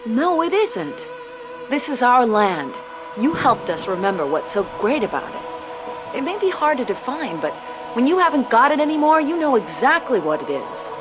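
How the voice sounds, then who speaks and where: An actress playing an earnest, idealistic and caring resistance comrade in a video game cutscene. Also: female voice, a little high, sing-song, moderate pace, music in the background. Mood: tender, earnest.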